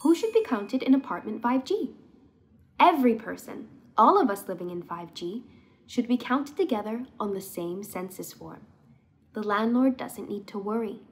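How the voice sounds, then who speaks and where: A woman's voice: medium pitch, animated, moderate pace, lightly acted. A woman voicing a thoughtful little girl who explains her home life earnestly, as in a children's storybook. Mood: earnest, reassuring. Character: thoughtful little girl living in crowded apartment.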